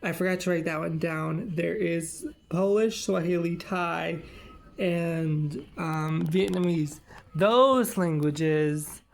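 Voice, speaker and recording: A man's voice: a little high, takes it slowly, vocal fry. A man in his twenties chatting casually in a vlog, rambling with lots of "like" and "um". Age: 20s. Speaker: vlogger.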